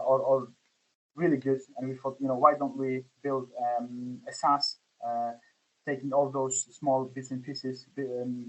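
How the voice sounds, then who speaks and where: Polish man, 40s, founder guest; monotone, hesitant, lo-fi audio. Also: medium pitch. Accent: Polish, with some Scottish influence. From Poland. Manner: hesitant, many fillers and restarts. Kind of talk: podcast interview.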